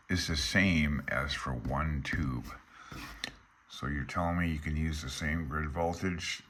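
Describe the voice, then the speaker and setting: Male instructor, extremely low pitch, bright edge to the voice, folksy and offhand while reading and explaining. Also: a little hiss or hum. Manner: folksy, offhand, thinking aloud. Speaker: instructor reading through a radio handbook. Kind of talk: informal explanation mixed with reading aloud.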